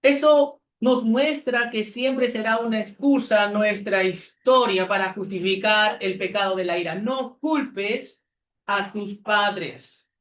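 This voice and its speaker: Slow, earnest preacher in an echoey church. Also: male voice, sits high, fairly bright tone. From Peru. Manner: earnest, exhorting, with deliberate pauses. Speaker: pastor. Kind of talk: sermon.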